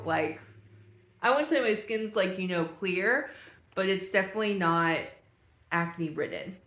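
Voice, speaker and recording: A woman's voice: on the low side, a bit bright, echoey room. A young woman vlogger chatting casually and thinking aloud as she reads through a quiz, laughing at herself now and then. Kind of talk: casual talk while reading a quiz aloud.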